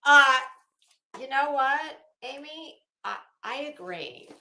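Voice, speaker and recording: An echoey room carries the bright voice of a woman hosting a commentary stream. Her pitch sits on the high side and swings widely. She reads aloud and comments with sarcasm, breaking off and restarting with emphasis. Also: lively intonation, slow, creaky. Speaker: host of a commentary livestream. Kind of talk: dramatic reading with sarcastic off-the-cuff commentary.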